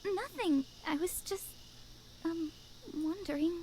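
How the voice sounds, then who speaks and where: Timid schoolgirl, very high voice, slow halting delivery, eager to please, visual novel game role. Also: expressive, warm tone, a little hiss or hum, heavily acted. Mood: awkward, hesitant. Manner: hesitant, halting, meek.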